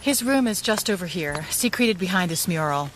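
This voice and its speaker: An actress playing a capable, caring mother and ally in a pulp audio drama, calm, reassuring and matter-of-fact. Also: on the low side, normal speed, noisy background, lightly acted.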